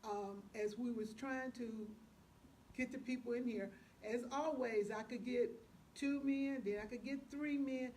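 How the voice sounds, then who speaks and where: A woman's voice: a little high, steady tone, a little slow, darkish tone, slight background noise. A woman guest telling her story casually in an interview, emphatic and testifying, quoting dialogue as she goes. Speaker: playwright guest.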